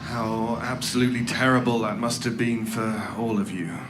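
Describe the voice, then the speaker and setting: A man's voice: mid-range voice, fairly even intonation, normal speed, background noise, lightly acted. An actor playing a dry, coldly polite male interrogator with a formal manner in a horror audio drama. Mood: probing. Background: music.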